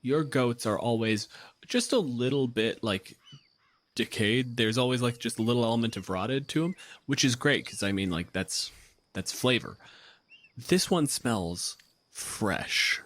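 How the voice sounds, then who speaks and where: A man's voice: mid-range voice, measured pace, a bit bright, dry recording. A man working as game master, narrating a tabletop game in a casual, improvised way.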